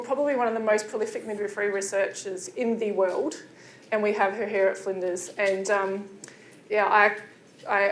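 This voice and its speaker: A woman's voice: mid-range voice, a little hiss or hum. A woman lecturing in midwifery, welcoming new students in a chatty way with many fillers. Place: lecture room. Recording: echoey room.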